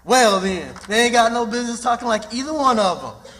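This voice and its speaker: A warm-hearted, stubborn runaway in a radio play. His voice is strikingly high and bright, swooping in pitch, with a folksy Southern dialect and emphatic delivery. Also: lively intonation, fairly quick. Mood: stubborn, puzzled. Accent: American Southern. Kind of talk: radio drama acting.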